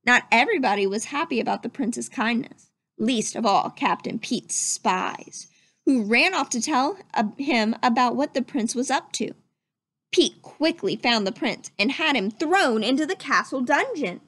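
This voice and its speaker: A woman reading a children's story aloud as narrator, with expressive, dramatic storytelling. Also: a little high, a little slow, dry recording. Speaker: storybook reader.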